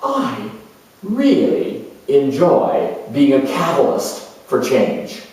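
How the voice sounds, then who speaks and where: A man performs a charming, self-assured male politician musing aloud in a character monologue. His delivery is slow and halting, with emphatic swells. The recording is very echoey, with the voice set well back from the microphone. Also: medium pitch, wildly swooping pitch, darkish tone. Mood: boastful, self-assured. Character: proud, charming, opinionated male career politician turned world judge. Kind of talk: dramatized first-person character monologue. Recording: roomy echo, distant microphone.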